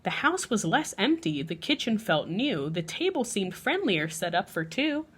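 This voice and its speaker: A woman reading a rhyming picture book aloud for children as a bedtime story, expressive and measured, stretching the ends of lines. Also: medium pitch, breathy edge.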